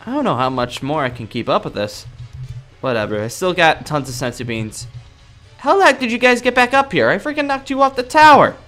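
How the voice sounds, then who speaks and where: A young man improvising in-character gameplay roleplay in a cocky, dramatic, excitable manner. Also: fairly high pitch, brisk, soft background music. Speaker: gaming roleplay video creator.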